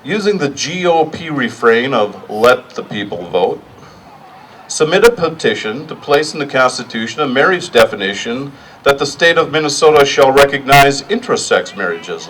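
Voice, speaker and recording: A man's voice: mid-range voice, a bit bright. Middle-aged man speaking at a rally, noisy distant recording. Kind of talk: public rally speech, partly improvised.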